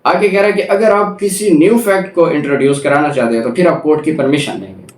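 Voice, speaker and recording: A man's voice: on the high side, quick pace. Echoey, distant Pakistani male lecturer. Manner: methodical, repeats and rephrases each point. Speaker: law lecturer. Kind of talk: explanatory lecture, reading statutes aloud and explaining them. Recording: echoey room, distant microphone.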